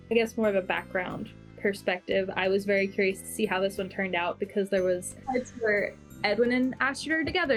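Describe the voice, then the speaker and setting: Woman with mid-pitched voice chatting over music. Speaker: actor on the show. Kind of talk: casual group chat. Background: background music.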